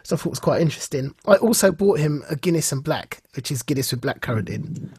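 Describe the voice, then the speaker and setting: Husky-voiced male podcast host, close and dry. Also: fairly high pitch, fast talker, no room sound. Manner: animated storytelling, acts out reported dialogue. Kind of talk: casual enthusiastic podcast chat.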